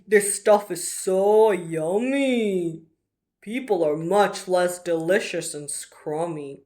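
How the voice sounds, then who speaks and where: A woman voicing a huge, greedy storybook dragon with a low growly roar and a drawn-out, gleeful menace. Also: warm tone. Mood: gleeful, savoring.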